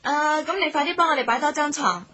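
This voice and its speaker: A woman playing a shrewd, confident young traveller in a radio drama, brisk and assertive, with a husky edge and a Hong Kong Cantonese accent. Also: sits high, sharp tone, muffled recording.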